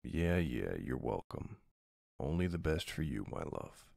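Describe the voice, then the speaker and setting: A man playing a tough but soft-hearted mafia boss husband in an intimate ASMR roleplay, murmuring tenderly with a very deep, gravelly voice. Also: very animated, a little slow, no echo, clean studio sound, exaggerated voice. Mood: teasing, affectionate.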